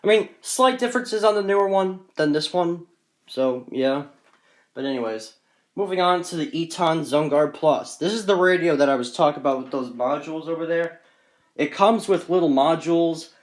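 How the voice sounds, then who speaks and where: A man's voice: a little high, moderate pace. A man casually presenting a collection in an off-the-cuff, rambling, matter-of-fact way, recorded on a handheld phone. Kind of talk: casual off-the-cuff show-and-tell.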